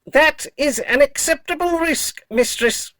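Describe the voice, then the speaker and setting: A woman's voice: high-pitched, a little slow, a bit bright. A woman playing a polite, loyal robot dog in an audio drama, speaking in clipped, formal, literal phrases. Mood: dutiful, matter-of-fact.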